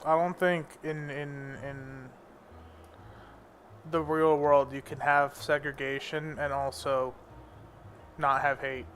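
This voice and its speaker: A man's voice: fairly high pitch, flat delivery, slow, pauses often, fairly bright tone, slight background noise. A young man who grew up in India, chatting casually and bluntly in informal slang. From born in America, grew up in India. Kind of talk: casual chat. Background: music.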